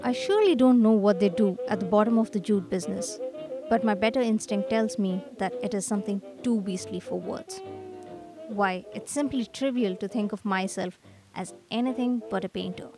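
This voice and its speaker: A woman's voice: medium pitch, moderate pace, over music, lightly acted. A woman playing an earnest, struggling young male painter in a radio drama, with a plaintive, theatrical manner. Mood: indignant, hopeful. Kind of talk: radio drama character acting.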